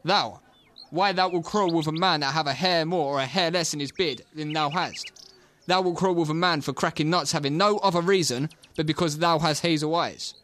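A young man student playing a mocking, hot-headed gentleman in a Shakespeare audio play, bantering and taunting. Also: a little high, fairly quick, close to an everyday voice. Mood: mocking, playful. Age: young adult.

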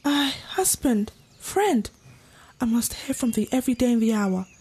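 A woman's voice: on the high side, lively intonation, normal speed, a little mellow, a little hiss or hum, lightly acted. A woman playing a passionate, defiant young girl in love, declaiming in a Shakespeare audio drama. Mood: tender, anxious. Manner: declamatory, impassioned.

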